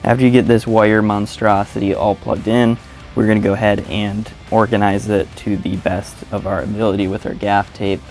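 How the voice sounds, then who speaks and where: A man with a fairly low, slightly gravelly voice walks through instructions step by step. His delivery is informal and plain-spoken, like a hobbyist's tutorial, with faint music behind him. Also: little pitch movement, moderate pace, a little mellow. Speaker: motovlogger presenting a how-to tutorial. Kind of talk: casual step-by-step instructional narration.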